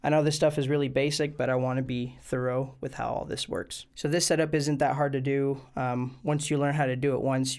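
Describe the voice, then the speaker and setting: A man's voice: medium pitch, darkish tone. A man hosting a tutorial video, explaining things step by step in an informal, plain way. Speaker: host of a music gear tutorial channel. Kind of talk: casual instructional video tutorial.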